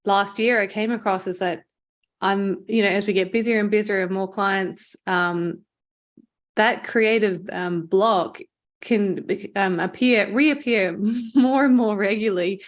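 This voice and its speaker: A woman's voice: mid-range voice, unhurried. A woman hosting a podcast, chatting conversationally in an encouraging, affirming way. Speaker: podcast host, marketing agency owner. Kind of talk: conversational interview chat.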